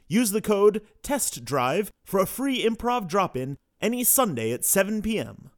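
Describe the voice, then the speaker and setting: A man's voice: sits high, sing-song, measured pace, no room sound, clean studio sound. A man reading light, semi-scripted promos as a podcast announcer.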